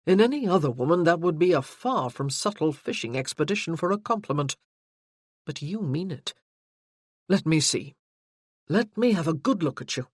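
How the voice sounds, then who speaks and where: Reader doing a teasing, self-assured gentleman in a romance audiobook; dry studio read. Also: medium pitch, animated, normal speed, dry recording, close to an everyday voice. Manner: playful, teasing, then earnest. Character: teasing confident gentleman suitor. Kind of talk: audiobook character voicing.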